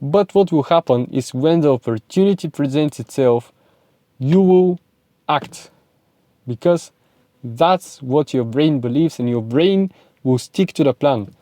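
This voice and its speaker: A man's voice: on the high side, animated, measured pace, warm tone, no room sound. A young man with a Bulgarian accent, giving an emphatic, repetitive motivational talk straight to camera. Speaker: self-improvement vlogger and speaking coach.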